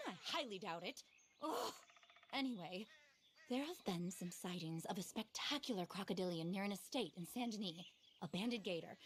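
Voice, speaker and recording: A woman acting as an earnest, eccentric lady naturalist in a video game drama. Her voice sits fairly high and swings widely in pitch, with a genteel, theatrical fervour that readily turns flustered. There is clear ambient noise behind her. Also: natural voice. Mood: outraged, then eager. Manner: genteel, fervent, indignant, theatrical. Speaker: character in a video game cutscene.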